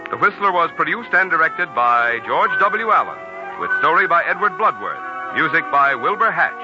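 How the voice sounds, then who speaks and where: A man giving scripted announcements in 1940s radio style, formal and emphatic, with careful phrasing. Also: fairly high pitch. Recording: dull old radio recording. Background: music.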